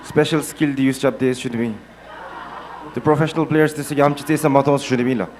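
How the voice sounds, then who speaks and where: A man commentating a live fighting-game match, laid-back and mixing two languages, breaking into excited shouts at big moves. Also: mid-range voice, a little fast, low-quality recording.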